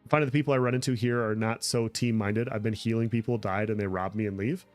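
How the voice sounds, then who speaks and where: A man's voice: on the low side, steady tone, fast talker, faint music behind. A man chatting casually on a gameplay livestream, answering viewer comments as he plays. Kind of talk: casual gameplay stream chat.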